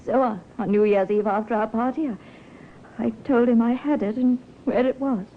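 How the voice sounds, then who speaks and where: Actress doing a young scheming widow, high voice, dramatic old radio play, thin tinny sound. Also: female voice, fairly even intonation, moderate pace, natural voice. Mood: distressed, confessing. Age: young adult. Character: young widow, cool, composed, secretly scheming. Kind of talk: 1950s radio drama acting. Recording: tinny, hissy 1950s radio recording.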